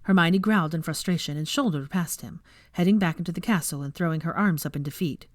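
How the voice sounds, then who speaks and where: A woman reading fan fiction aloud as narrator, in expressive scripted storytelling. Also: lowish voice, fast, no room sound, studio quality.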